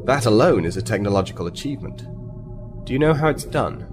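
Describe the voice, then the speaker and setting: An actor playing a firm, formal military captain who is politely confrontational, in a sci-fi audio drama. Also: male voice, fairly low pitch, lively intonation, fast talker, soft background music, close to an everyday voice. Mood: impressed. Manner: formal, assertive.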